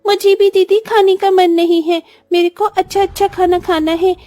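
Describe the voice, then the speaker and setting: A very high, mellow little girl's voice, acted by a woman for a cartoon story. She is a poor younger sister who complains and pleads plaintively. The recording is close and dry. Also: little pitch movement, normal speed, no room sound, soft background music. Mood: whiny, plaintive. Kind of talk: Hindi cartoon story voice acting.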